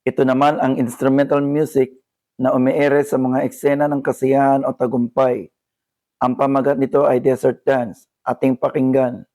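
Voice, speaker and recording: A man with a Filipino accent reads a scripted educational narration in a formal, deliberate manner. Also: mid-range voice, little pitch movement. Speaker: video narrator.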